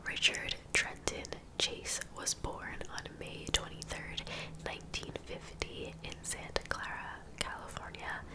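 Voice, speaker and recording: A woman hosting an ASMR true crime video in a soft, breathy whisper, chatting casually to viewers as she narrates. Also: rough recording. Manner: casual, conversational asides to viewers.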